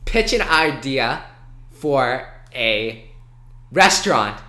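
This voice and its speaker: A man co-hosting a podcast in casual banter, explaining things haltingly and correcting himself as he goes. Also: mid-range voice, animated, sharp tone. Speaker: podcast co-host. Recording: roomy, echoey sound.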